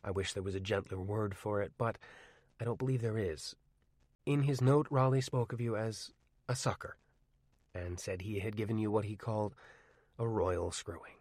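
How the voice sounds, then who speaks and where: Man playing older schoolteacher, dry voice, slow, formal, guarded, dry booth. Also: medium pitch, animated, darkish tone, no echo, pitched above the performer's own voice, lightly acted.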